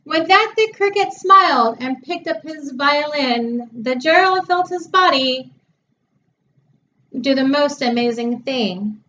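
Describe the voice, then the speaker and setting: A woman reading a children's storybook expressively, with a measured, sing-song rhyming delivery. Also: high-pitched, bright, forceful tone, lo-fi sound, slight background noise.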